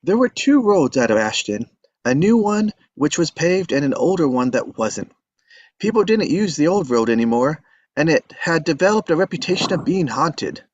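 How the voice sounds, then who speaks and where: A man's voice: a little high, sing-song, normal speed, dry recording. A man playing an adventurous, easygoing young small-town man who tells his own story at a table read. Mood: wry reminiscing. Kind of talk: table-read acting with first-person narration. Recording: dull lo-fi microphone sound.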